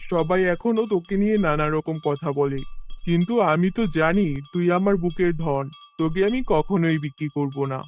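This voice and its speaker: High-voiced, earnest poor village farmer acted by a man. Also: moderate pace, natural voice. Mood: tender, devoted. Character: poor, soft-hearted village farmer devoted to his cow. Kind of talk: acted animated fairy-tale dialogue.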